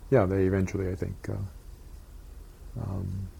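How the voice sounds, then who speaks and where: An older man from Japan, recalling his childhood in an oral history interview, talking haltingly with many pauses and fillers. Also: deep voice, flat delivery, vocal fry, mellow, slight background noise.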